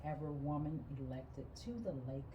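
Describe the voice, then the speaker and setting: A woman's voice: low voice, level tone, moderate pace. A woman co-hosting a casual podcast interview and talking with guests. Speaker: podcast co-host. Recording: echoey room, faint noise.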